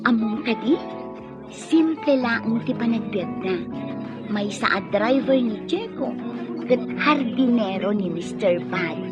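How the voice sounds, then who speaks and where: Radio drama casting: wistful young woman, high voice, unhurried, over loud music. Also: animated. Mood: chatty. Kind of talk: Ilocano radio drama acting. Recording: muffled audio under loud background music.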